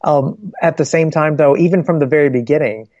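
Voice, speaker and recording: A man answering interview questions as a guest from a Bible publishing ministry, in an earnest, measured way that builds each point step by step. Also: fairly high pitch, darkish tone, no room sound. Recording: slightly dull, lo-fi sound.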